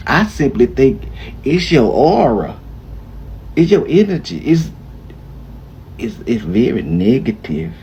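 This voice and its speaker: Slow, sarcastic man reacting. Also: mid-range voice, big pitch swings, a little mellow, slight background noise, low-quality recording. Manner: sarcastic, mocking, drawn out. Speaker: video reactor.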